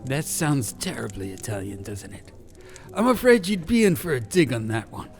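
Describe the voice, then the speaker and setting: A man voicing an urbane, witty London gentleman in an audiobook, with flowery, formal, old-fashioned phrasing. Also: medium pitch, big pitch swings, normal speed, voice pushed up. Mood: droll, chatty. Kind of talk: audiobook character dialogue. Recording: music under the voice.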